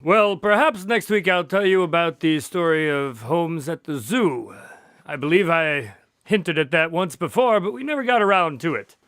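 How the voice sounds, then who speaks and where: A man plays a loyal, genial storyteller in a radio play. His voice is on the high side and crisp-edged, dry, with genteel, assured, old-fashioned phrasing. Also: expressive, moderate pace, fairly bright tone, dry recording. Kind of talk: amateur radio drama acting.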